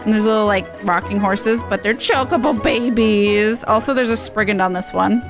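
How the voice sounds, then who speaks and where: A woman's voice: mid-range voice, moderate pace. A woman game streamer giving casual, playful, chatty commentary on her playthrough. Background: game music.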